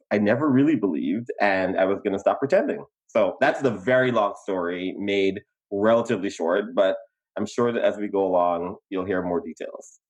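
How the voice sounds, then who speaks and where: Podcast co-host, young Guyanese man, lowish voice, lively storytelling. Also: muffled recording. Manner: animated, laughs easily, emphatic pitch jumps. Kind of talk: casual conversational storytelling.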